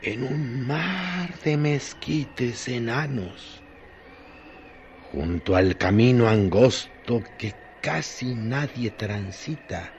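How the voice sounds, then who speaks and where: A man performing as the solemn narrator of a radio drama, with deliberate, literary phrasing and long pauses. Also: medium pitch, lively intonation, darkish tone, slight background noise. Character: solemn male narrator of a desert tale.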